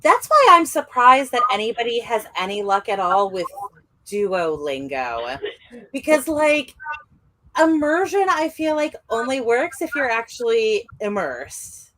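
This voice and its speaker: A woman's voice: fairly high pitch, sing-song, unhurried, pushed and bright, poor audio. A woman chatting casually on a podcast, with lots of "like" fillers. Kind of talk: casual chat.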